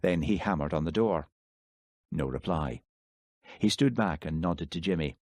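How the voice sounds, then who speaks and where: A man narrating an audiobook in a steady, measured storytelling manner. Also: low voice, dry recording. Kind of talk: scripted narration.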